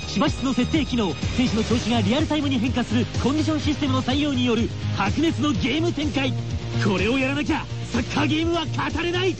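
A man's voice: very high-pitched, expressive, fast talker. A man reading a scripted radio commercial as an announcer, with a punchy, hyped sales delivery. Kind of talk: scripted radio game ad read. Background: music.

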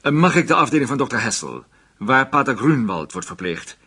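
An actor playing an authoritative, methodical police chief detective in a radio drama, with an insistent manner and a husky voice. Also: male voice, mid-range voice, sing-song, normal speed, bright, forceful tone, full character voice. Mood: measured, probing.

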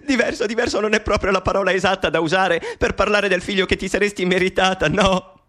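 A man's voice: high voice, steady tone, fast, bright, forceful tone. An Italian actor in a radio play, playing a persecuted male mathematician who gives an intimate, bitter, pleading confession to his mother. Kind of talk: radio drama monologue.

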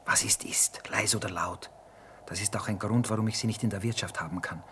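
An actor playing a hard-headed, callous army cook in a radio drama, speaking bluntly. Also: male voice, lowish voice, brisk, bright, forceful tone, slight background noise. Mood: cold, insistent.

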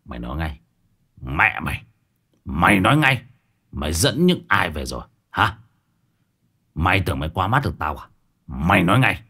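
A man's voice: low-pitched, expressive, normal speed, a bit bright. A man voicing a stern young male shaman who interrogates harshly in clipped phrases. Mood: menacing.

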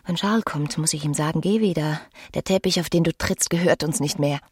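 Desperate ruined wife in a radio play, husky breathy voice, intense, pleading with her old lover. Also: sits low, a little fast, a little mellow. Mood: bitter despair. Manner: theatrical, intense, swinging between whisper and outburst. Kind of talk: radio drama acting.

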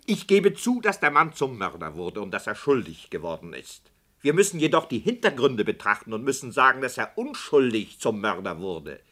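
An actor playing a radio drama director who protests and argues emphatically with the author. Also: male voice, mid-range voice, very animated, normal speed, bright, forceful tone. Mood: argumentative. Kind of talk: radio play acting.

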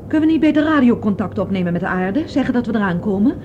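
A woman's voice: medium pitch, animated, very fast, natural voice. An actress playing an anxious young woman fleeing through space in a Dutch radio drama, worried and full of questions. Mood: anxious, tender. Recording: dull, noisy old radio play.

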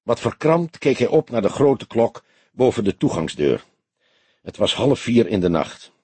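A man's voice: medium pitch, very animated, measured pace, a little mellow, breathy edge, no echo. A man reading a detective novel aloud as an audiobook narrator, in scripted storytelling style.

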